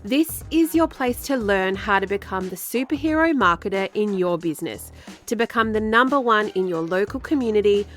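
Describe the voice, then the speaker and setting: An Australian woman in her thirties hosts her own podcast, her voice mid-pitched and a little stuffy from a blocked nose. She talks in an upbeat, chatty way and happily drifts off on small tangents before coming back to her point. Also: expressive, moderate pace, faint music behind. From South Australia. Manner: chatty, upbeat, prone to digressions. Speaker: podcast host. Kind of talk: solo marketing podcast talk.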